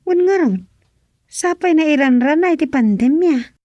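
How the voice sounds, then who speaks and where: An inquisitive, no-nonsense village woman in a radio comedy drama. Her voice sits slightly high and is captured close, with a dry studio sound. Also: lively intonation, normal speed, mellow, no echo, muffled recording.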